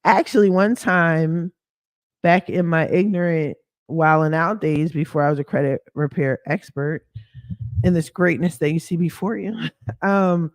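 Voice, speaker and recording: A woman whose voice sounds hoarse and deeper than usual from a cough, running a credit repair business and talking through a casual screen-share walkthrough in a candid, slangy way with sassy asides. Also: steady tone, a little slow, no room sound.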